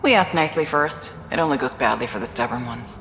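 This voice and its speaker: A woman's voice: very deep voice, animated, quick pace, fairly bright tone, roomy sound, long reverb tail, slight background noise, heavily acted. An actress playing a blunt, sardonic female gang enforcer in a video game. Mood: contemptuous, hard. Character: hard-edged female gang enforcer running a prison.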